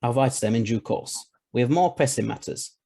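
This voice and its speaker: A man playing a self-assured, principled time-travelling scientist in an audio drama, speaking formally and with measured authority. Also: mid-range voice, vocal fry, mellow, husky. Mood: brisk, assured.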